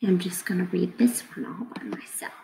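A woman's voice: on the low side, fairly even intonation, moderate pace, gravelly, in a whisper. A woman in her thirties talking casually to children as their teacher, explaining simply and putting questions to them. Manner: explains simply, asking questions of young listeners. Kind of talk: casual read-aloud lesson for children. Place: indoors at a computer, webcam screen recording.

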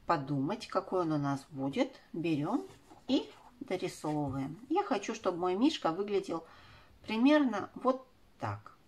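Lively, low-pitched woman teaching crafts. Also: a little slow, slightly rough. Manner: uses affectionate diminutive words. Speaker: craft tutorial presenter. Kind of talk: step-by-step craft instruction for children.

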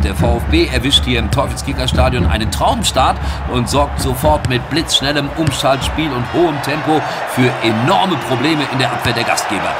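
An actor playing an excitable, self-assured male football commentator in an audio play, dramatically repeating himself for suspense. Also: medium pitch, lightly acted. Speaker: sports commentator. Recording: music and loud stadium crowd behind.

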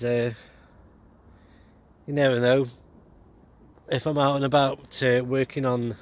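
A man in his forties talking casually and haltingly in an outdoor vlog. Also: medium pitch. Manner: halting, hesitant delivery. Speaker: amateur radio vlogger. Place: outdoors on an open hillside. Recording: noisy outdoor ambience.

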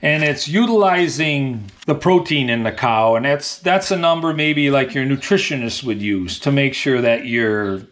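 A man's voice: mid-range voice, moderate pace, hardly any pauses, fairly bright tone. A man in his thirties, a dairy farmer, explaining things in a casual, plain-spoken, folksy way in a small indoor work room. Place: small tiled milk room with steel tank, indoors.

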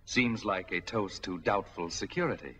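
A man's voice: sits low, normal speed, sharp tone. An actor playing a taunting, knowing unseen male narrator in a 1940s mystery film. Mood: ominous, mocking. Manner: mocking, knowing. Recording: noisy, crackly 1940s film soundtrack.

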